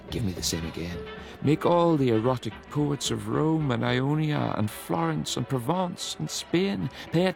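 A man giving a measured, declamatory reading of a long poem. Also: lowish voice, gravelly. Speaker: poetry reader. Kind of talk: dramatic poetry reading.

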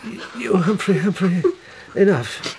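An actor playing a kindly, flustered older squire with formal, halting speech in a period radio drama. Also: male voice, high voice, gravelly, darkish tone, slight background noise. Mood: grateful, flustered.

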